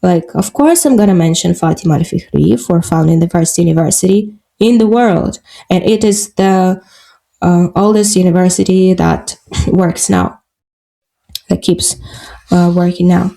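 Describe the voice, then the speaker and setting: Slow, gravelly woman with Russian accent. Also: fairly low pitch, warm tone. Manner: halting, searching for English words, many fillers. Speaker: podcast guest. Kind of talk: casual interview talk.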